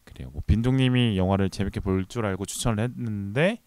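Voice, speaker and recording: Low-voiced man rambling on his podcast. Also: fast talker, clear, resonant tone, dry recording. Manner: relaxed, rambling, many fillers and trailing remarks. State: recovering from a cold. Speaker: podcast host. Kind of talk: casual solo movie podcast talk.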